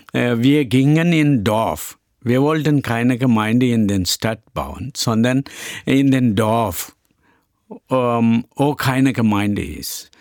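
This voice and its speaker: A middle-aged Indian pastor whose first language is Tamil answers interview questions in a halting way, pausing often with many hesitations and simple grammar. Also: male voice, mid-range voice, gravelly, dry recording, clean studio sound. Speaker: pastor and church planter.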